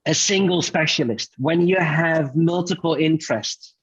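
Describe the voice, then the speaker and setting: A man's voice: on the high side, measured pace, slightly rough, highs cut off. A man from the Netherlands, a guest on a casual video call chat, talking in an upbeat, enthusiastic way.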